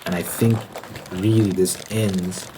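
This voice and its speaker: Very low-voiced man rambling in ASMR. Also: fairly even intonation, slow, noisy background. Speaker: ASMR host. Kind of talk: ASMR rambling monologue, opinionated.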